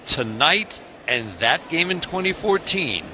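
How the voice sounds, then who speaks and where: Higher-pitched American baseball announcer, noisy background. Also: male voice, sing-song, a little slow. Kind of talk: live radio sports commentary. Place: stadium broadcast booth. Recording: clearly noisy ballpark broadcast.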